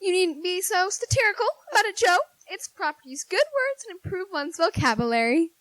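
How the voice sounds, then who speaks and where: Very high, affected little schoolgirl played by a woman. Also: very animated, a little fast, fairly bright tone, no room sound, heavily acted, rough recording. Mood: indignant. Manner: prim, affected. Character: vain, affected, pouting youngest sister, a schoolgirl. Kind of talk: 1940s-style radio drama acting.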